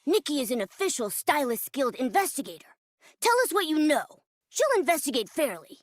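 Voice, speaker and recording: Little game mascot critter, super high n bouncy, kiddish and eager. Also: female voice, sing-song, a little fast, no echo, studio quality. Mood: insistent. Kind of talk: video game cutscene acting.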